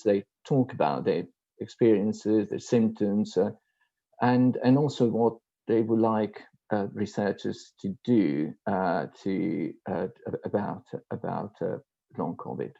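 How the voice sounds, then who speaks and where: Low gravelly guy hosting a video meeting, lots of uhs, dull lo-fi audio. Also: measured pace, mellow. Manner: hesitant, many fillers. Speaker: meeting moderator. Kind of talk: online meeting moderation.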